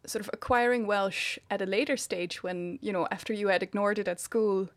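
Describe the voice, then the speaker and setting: A woman interviewing a guest in a relaxed chat, friendly and quick to laugh. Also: medium pitch, no room sound. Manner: chatty, laughs often, many back-channel yeahs.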